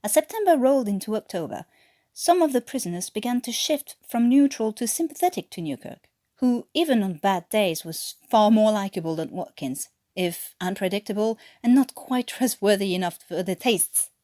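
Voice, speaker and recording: Woman narrating with very lively intonation. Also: mid-range voice, normal speed. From France. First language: French. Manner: expressive storytelling with character voices. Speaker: fan fiction author reading their own story. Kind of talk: scripted story narration.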